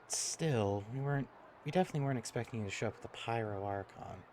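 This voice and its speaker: A man's voice: medium pitch, fast talker, darkish tone, a little hiss or hum. A man giving casual, blunt live commentary as he streams a game.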